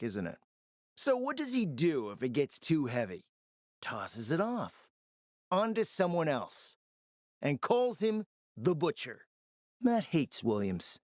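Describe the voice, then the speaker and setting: A man's voice: mid-range voice, no room sound, clean studio sound. An actor playing a scheming, foul-mouthed man in a post-apocalyptic video game, confident and calculating, with an emphatic, sardonic delivery. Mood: bitter, sardonic. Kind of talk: dramatic video game dialogue.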